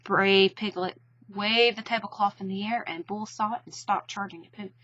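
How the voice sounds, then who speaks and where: A woman's voice: mid-range voice, fairly even intonation, moderate pace, fairly bright tone, lo-fi sound. A woman narrating a children's storybook expressively.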